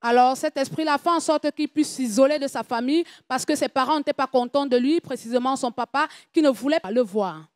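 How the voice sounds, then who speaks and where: A woman with a high voice works as an interpreter in church. She relays each statement in a steady, measured way. The recording is dry and close. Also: no echo. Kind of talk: French interpretation of testimony.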